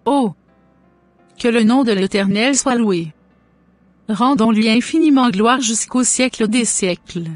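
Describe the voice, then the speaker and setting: A woman's voice: a little high, a little slow, long pauses, no room sound, soft background music. A woman voicing a devout, blessing-giving mother. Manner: solemn, blessing-heavy, churchy phrasing.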